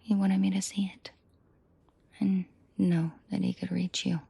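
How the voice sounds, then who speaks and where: A woman playing a commanding, protective and seductive mafia boss in an intimate audio roleplay, speaking in a breathy, controlled murmur that often drops to a half-whisper. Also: mid-range voice, flat delivery, dark tone, no room sound, full character voice. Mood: low menace. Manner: slow, deliberate, controlled murmur addressed closely to listener.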